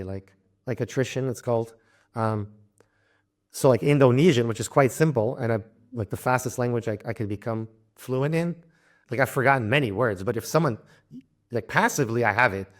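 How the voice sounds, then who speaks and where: Man, young adult, from Louisiana, interview guest, casual, halting, many restarts, laughs lightly, mid pitch. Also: lively intonation. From Louisiana, United States. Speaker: interview guest, traveler and polyglot.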